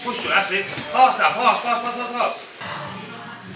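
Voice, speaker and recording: A man's voice: extremely high pitch, takes it slowly. A British man coaching in a gym, teasing the others and calling out drills. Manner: teasing banter, shouted coaching calls. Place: large echoey gym, indoors. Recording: distant voice in echoey gym over loud music.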